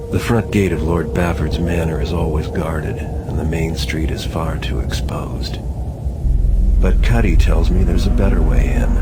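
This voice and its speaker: An actor playing a cynical, wary master thief, narrating a dark fantasy video game in a hushed, sardonic, measured deadpan. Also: male voice, low-pitched, noisy background. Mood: cool, calculating. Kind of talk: scripted noir video game mission narration. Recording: music behind the voice.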